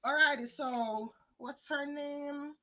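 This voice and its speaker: A woman from Jamaica, chatting lively with viewers as a psychic co-host and calling them guys and hon. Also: sits high, slow, slight background noise. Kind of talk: live-stream reading, casual chat.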